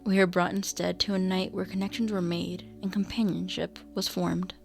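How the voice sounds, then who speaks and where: Creaky-voiced woman narrating radio drama. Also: fairly low pitch, level tone, moderate pace, faint music behind.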